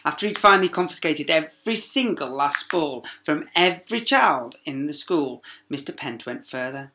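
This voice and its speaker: Man, bright forceful voice, children's story reading, dramatic delivery, emphatic pauses, schoolteacher manner. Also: fairly high pitch, animated, slight background noise. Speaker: primary school teacher reading to pupils.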